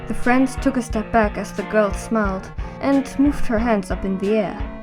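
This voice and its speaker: A woman's voice: a little high, moderate pace, music in the background. A woman narrating an audio drama in scripted storytelling style.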